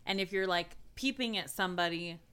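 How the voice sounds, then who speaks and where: Smooth, bright-voiced woman joking crudely. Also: lowish voice, fairly quick. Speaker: podcast co-host. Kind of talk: casual chat.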